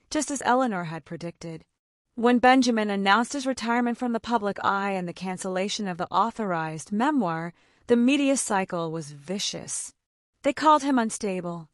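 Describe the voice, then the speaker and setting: A woman's voice: mid-range voice, dry recording, studio quality. A woman reading a romance story as an audiobook narrator, in a dramatic scripted style.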